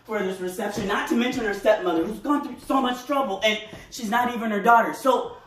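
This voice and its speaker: A woman's voice: fairly high pitch, a little fast, slight background noise, lightly acted. A woman acting out a theatrical monologue as an exasperated, frazzled groom, agitated, emphatic and rambling. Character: exasperated, frazzled groom recounting wedding family squabble. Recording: roomy echo, distant microphone.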